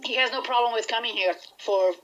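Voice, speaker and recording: A woman's voice: fairly high pitch, brisk, fairly bright tone, highs cut off. Chatty Brazilian woman in an interview. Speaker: band musician being interviewed. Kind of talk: casual interview chat.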